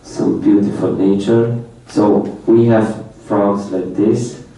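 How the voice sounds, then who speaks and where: A man's voice: lowish voice, fairly even intonation, slow pace, vocal fry, warm tone. A young man whose first language is Romanian gives an informal slide presentation in plain, repetitive English. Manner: plain speech in non-native English with many repetitions, light laughs. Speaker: foreign student presenter. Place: university lecture room. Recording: echoey lecture room, mic at a distance.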